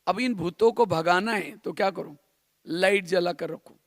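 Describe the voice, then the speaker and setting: A man's voice: high voice, a little slow, gravelly, dry recording, clean studio sound. A man from India giving a spiritual class in a didactic way, repeating phrases and posing rhetorical questions. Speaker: spiritual teacher.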